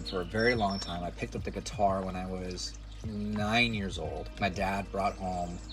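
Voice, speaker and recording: A man in his forties with a nasal voice, chatting casually to the camera as a musician and content creator. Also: deep voice. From United States. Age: 40s. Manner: rambling, self-deprecating, chatty.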